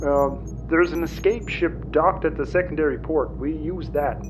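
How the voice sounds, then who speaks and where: Shifty, awkward male stranger actor, lightish pitch, halting stammer, evasive, slightly dull sound, audio sci-fi comedy. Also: music in the background, lightly acted. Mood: nervous, evasive. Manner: hesitant, stammering. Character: awkward, evasive stranger offering a suspicious job.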